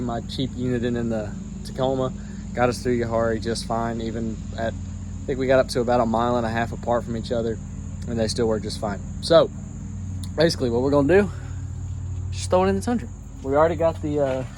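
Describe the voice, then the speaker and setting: A man with an average-pitched voice talks folksy and rambling, restarting phrases as he works on a truck. He is recorded outdoors on a handheld camera. Crickets and outdoor noise sit clearly in the background. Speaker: DIY truck vlogger. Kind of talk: casual how-to vlog. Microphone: handheld camera, no tripod.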